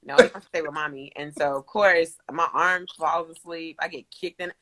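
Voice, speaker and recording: A young woman from Kentucky. Her voice is bright and forward, and she tells her stories in an animated, laughing way. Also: deep voice, normal speed, a little hiss or hum, rough recording. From Kentucky, USA. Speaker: guest. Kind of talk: casual interview.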